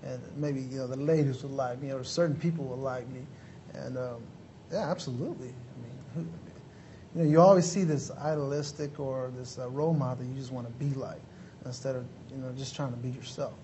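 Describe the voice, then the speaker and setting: A man's voice: medium pitch, steady tone, brisk, mellow, highs cut off, a little hiss or hum. Earnest man from Mexico telling his story. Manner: earnest, lots of "you know" fillers, polite "yes sir". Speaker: motivational speaker, guest. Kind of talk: interview answers.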